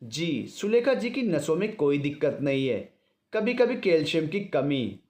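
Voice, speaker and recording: A man's voice: fairly high pitch, very animated, unhurried, darkish tone. A man voicing a female doctor in an audio story, explaining things politely and reassuringly.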